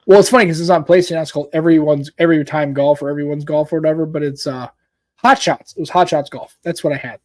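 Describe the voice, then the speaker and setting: A man in his late forties, a podcast host chatting casually in a rambling, animated way and calling his co-host "dude.". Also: a little high, fast talker, dry recording. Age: 40s. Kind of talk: casual chat.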